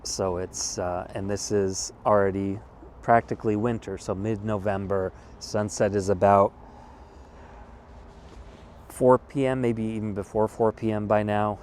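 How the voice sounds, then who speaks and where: An American man in his thirties, a vlogger talking casually and thoughtfully outdoors, pausing often and restarting his sentences. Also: on the low side, little pitch movement, darkish tone, a little hiss or hum. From California, USA. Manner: thoughtful, measured, with pauses and restarts. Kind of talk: casual reflective monologue. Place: outdoors in a wooded city spot.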